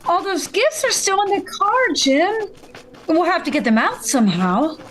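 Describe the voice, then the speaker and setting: A woman's voice: extremely high pitch, sing-song, moderate pace, fairly bright tone, heavily acted. A woman playing a practical, fretful mother in an old-time radio family comedy.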